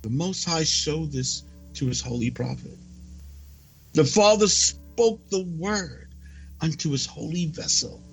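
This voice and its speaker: A man reading prophecy as a sermon, solemn and declamatory, with dramatic pauses. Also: a little high, gravelly, soft background music, low-quality recording. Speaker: preacher reading a prophecy report.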